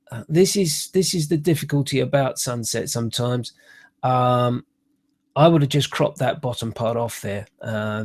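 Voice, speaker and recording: A man's voice: mid-range voice, a little mellow, no echo. A middle-aged man with a cold, sounding snuffly, giving a chatty, hesitant photography critique over a webcam in a small room. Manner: chatty, hesitant, many uhs and restarts. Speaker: photography tutor. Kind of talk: live online workshop critique. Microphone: webcam on a computer.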